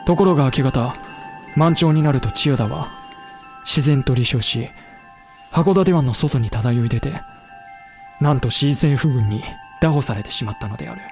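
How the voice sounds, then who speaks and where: A man narrating a historical audio drama in a solemn, deliberate, dramatic way. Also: medium pitch, darkish tone, faint music behind, natural voice.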